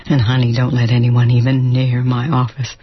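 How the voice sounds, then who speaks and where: A woman voicing a bossy, sassy female office boss who barks orders, in a low husky voice. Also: level tone, normal speed, no room sound, dull audio.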